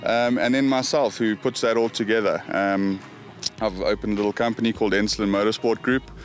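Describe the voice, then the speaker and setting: Low-voiced South African man. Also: steady tone, fairly quick, faint music behind, rough recording. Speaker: team manager. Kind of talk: casual interview.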